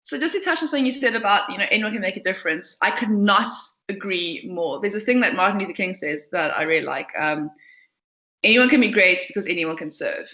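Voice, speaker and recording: Chatty, outspoken young woman founder, fast bright delivery, roomy sound. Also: medium pitch, expressive. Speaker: CEO and co-founder, guest. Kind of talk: podcast interview. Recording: echoey room.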